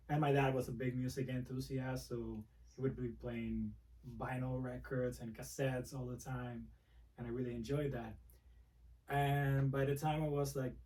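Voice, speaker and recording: A man from the Republic, a guest speaking softly and reflectively in a casual interview. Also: medium pitch, recorded from a distance, poor audio. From Dominican Republic. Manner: soft-spoken, reflective, frequent restarts. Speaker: guest, music producer.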